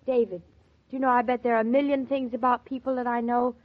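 An actress playing a chatty, incredulous young newlywed wife in 1940s radio drama style, stammering and repeating herself playfully. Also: female voice, fairly high pitch, flat delivery, fast talker, natural voice. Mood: playfully fretting. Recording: muffled vintage radio recording.

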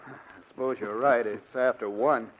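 A man's voice: medium pitch, fairly even intonation, moderate pace, a little hiss or hum, lightly acted. An American man acting a polite, hesitant doctor in a 1940s radio drama, on a dull old recording. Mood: courteous. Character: polite, hesitant, agreeable male visiting doctor.

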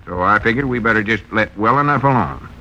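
Pompous country man, sharp vintage radio sound. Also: on the low side, fast talker, muffled recording. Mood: firm. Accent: rural Southern US. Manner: pompous, dismissive. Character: self-important small-town businessman, haughty, folksy. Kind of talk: 1940s radio comedy acting. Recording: noisy vintage 1940s radio recording.

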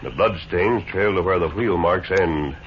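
Radio western lead: upright masked gunman, steady and authoritative, vintage muffled sound. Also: low voice, noisy background. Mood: calm, intent. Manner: authoritative, measured. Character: calm, commanding, upright masked gunman hero. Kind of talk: 1950s radio western acting. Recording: dull old radio recording.

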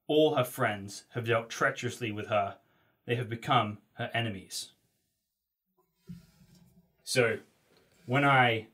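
A man hosting an interview podcast, reading a passage in a measured way and asking loose questions with fillers. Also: mid-range voice, a bit bright. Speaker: podcast host. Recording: voice a bit far from the mic.

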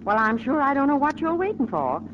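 A woman's voice: high-pitched, sing-song, a little fast. A middle-aged woman playing a fretful, fond farm mother in a 1940s radio drama, fussy and motherly. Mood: gently nagging. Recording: dull, slightly noisy 1940s radio broadcast.

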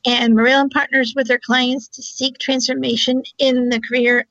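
A woman's voice: sits high, little pitch movement, normal speed, fairly bright tone, no room sound. A woman hosting a radio talk show, asking chatty, rambling questions full of fillers. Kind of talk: casual interview. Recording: muffled lo-fi line.

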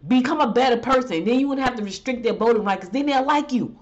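A middle-aged woman hosting a casual solo talk show, stuffy from a head cold, chatting loosely and ranting in exasperation. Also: quick pace. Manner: chatty, exasperated rants with rising pitch. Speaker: show host. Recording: dull lo-fi audio.

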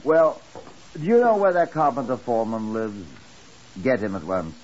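An actor playing a commanding British police detective in a 1950s radio drama, curt and authoritative. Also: male voice, mid-range voice, very animated, normal speed, darkish tone, natural voice. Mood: businesslike. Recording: muffled, hissy 1950s radio recording.

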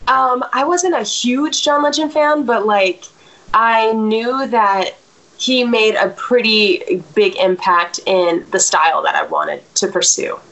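A woman's voice: on the high side, slow pace, gravelly, fairly bright tone, low-quality recording. A woman in her thirties, a singer, talking reflectively in a casual interview with many fillers and restarts.

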